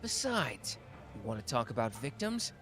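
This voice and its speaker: An actor playing a hot-tempered but protective young man who talks gruffly and swears in an audio roleplay. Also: medium pitch, sing-song, close to an everyday voice. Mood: angry. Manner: gruff, swearing, quick to snap. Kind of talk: ASMR roleplay acting.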